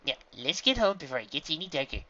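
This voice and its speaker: A woman doing a soothing gentle mother mare. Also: low-pitched, very animated, fast, lo-fi sound, a little hiss or hum, pitched above the performer's own voice, full character voice. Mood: gentle firm. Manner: soothing, lilting.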